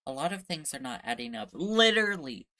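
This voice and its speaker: A woman's voice: extremely low pitch, sing-song, a little fast, bright, forceful tone. A woman streamer giving casual live commentary while playing a game. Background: game music.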